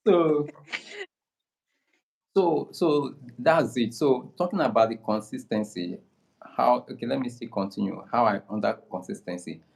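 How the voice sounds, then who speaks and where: A man from Nigeria with a Nigerian accent, speaking slowly and calmly in casual conversation, often restarting his sentences. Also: medium pitch, vocal fry, rough recording. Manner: slow, unhurried, many restarts. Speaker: guest creator.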